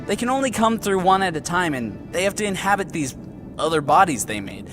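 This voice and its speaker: An actor playing a defensive know-it-all young man in an audio drama, casual and quick to interrupt with corrections. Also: mid-range voice, sing-song, over music, natural voice. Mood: defensive, explaining.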